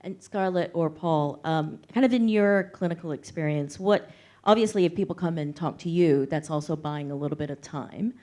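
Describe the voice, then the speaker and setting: A woman's voice: low voice, moderate pace, warm tone. A woman moderating a panel discussion, phrasing her remarks and questions carefully.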